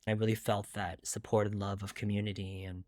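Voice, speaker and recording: Low-pitched middle-aged man, dry close sound. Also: level tone, fairly quick, no echo. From Long Island, New York. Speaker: guest author. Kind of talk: candid conversational interview.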